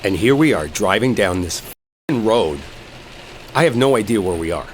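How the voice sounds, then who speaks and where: A man's voice: fairly low pitch, lively intonation, moderate pace, close to an everyday voice. A man playing an irritated, sarcastic coworker arguing as he drives, in a roleplay audio drama. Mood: bitter exasperation. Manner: irritated, sarcastic, swearing, argumentative. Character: exasperated, sarcastic male coworker arguing while driving. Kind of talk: enemies-to-lovers roleplay audio acting. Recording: rain and car noise behind. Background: rain storm and vehicle ambience.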